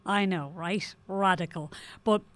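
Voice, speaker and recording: A woman's voice: lowish voice, a little slow, lightly acted. An actress playing a shrewd, persuasive condemned woman in a naturalistic radio drama, terse and commanding. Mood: confiding, coaxing. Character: shrewd, persuasive condemned woman, older sister-like, urgent schemer.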